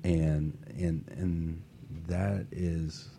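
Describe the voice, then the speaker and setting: A man's voice: sits low, little pitch movement, very slow, mellow, a little hiss or hum. A man hosting a talk show in a motivational style, affirming and repeating phrases for emphasis. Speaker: talk show host and workshop coach.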